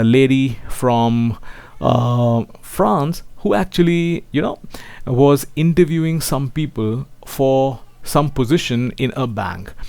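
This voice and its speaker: A man's voice: medium pitch, vocal fry, a little mellow, no echo. A man teaching maths in an online lecture, addressing students directly and often checking for agreement. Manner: seeks agreement with frequent tag questions; addresses listeners as children.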